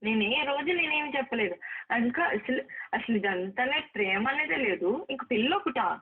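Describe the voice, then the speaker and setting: A woman's voice: a little high, animated, fairly quick, husky. A woman arguing in a private phone call, emphatic and repetitive, reproaching the person she is talking to. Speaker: actress. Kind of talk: heated private phone conversation. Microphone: phone line. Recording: narrow, tinny recorded phone call.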